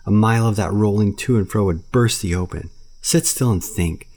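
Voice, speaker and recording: A man voicing a proud, stern male panther who scolds slowly and impatiently. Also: lowish voice, darkish tone, pitched above the performer's own voice. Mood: scornful.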